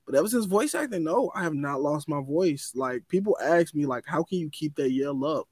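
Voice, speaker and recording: A young adult man, a voice actor, talking in an animated, excitable way with stammered restarts in a casual podcast chat. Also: on the high side, moderate pace, husky, no echo, clean studio sound. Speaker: voice actor guest.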